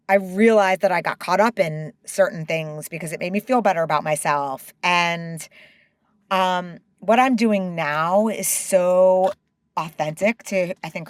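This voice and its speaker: A middle-aged woman speaks candidly as an interview guest. Her voice sits slightly low, and it is recorded dry and close with no room sound. She talks with open emotion and stops and restarts often. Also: takes it slowly, no room sound. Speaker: guest, company co-founder.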